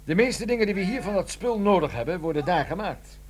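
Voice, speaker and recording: A man's voice: high-pitched, expressive, brisk, darkish tone, slight background noise. An actor playing a chatty, cheerful military desk man with a dry wit in a radio play. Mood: cheerful, wry.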